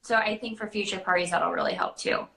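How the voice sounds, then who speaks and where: A woman with a bright, forward voice chats informally as she answers questions. Her phrasing is loose and halting, full of "like", and the room around her sounds noticeably echoey. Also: mid-range voice, steady tone, vocal fry. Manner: informal, hesitant phrasing peppered with "like". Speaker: guest answering questions about character voices. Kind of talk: casual Q&A chat.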